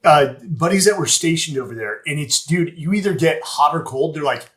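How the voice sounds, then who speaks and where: A man's voice: fairly high pitch, expressive, moderate pace, a bit bright. A man hosting a podcast interview in a laid-back, casual way, dropping words like "dude" and "man". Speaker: podcast host.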